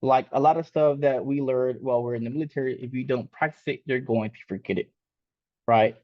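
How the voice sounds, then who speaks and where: A man's voice: medium pitch, fairly even intonation, fairly quick, no room sound. A man born in Mexico, a native Spanish speaker, casually leading a live coding lesson with lots of fillers and restarts. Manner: casual, rambling with many fillers. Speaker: coding instructor. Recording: dull lo-fi sound.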